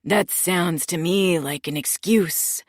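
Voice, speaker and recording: A woman putting on a calm, menacing crime boss, a man who talks low and slow and sometimes drops to a whisper. The voice is dry and close, with no room around it. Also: no room sound.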